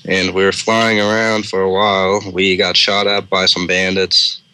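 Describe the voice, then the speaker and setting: Male co-host, very deep but bright and forceful, telling an improvised story casually. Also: little pitch movement, normal speed, rough recording.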